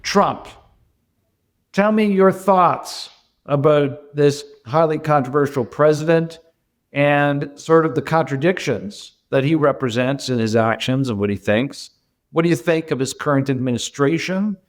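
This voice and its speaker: A man's voice: fairly high pitch, unhurried. A man hosting a podcast, asking long probing questions with hesitations and restarts. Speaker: podcast host. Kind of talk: interviewer questions.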